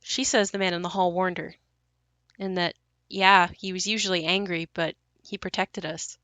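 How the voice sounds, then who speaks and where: A woman's voice: on the low side, little pitch movement, moderate pace, a bit bright, no echo. A woman playing a worried adult daughter who narrates an audio play in a confiding, conversational way. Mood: reflective. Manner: confiding, conversational, matter-of-fact.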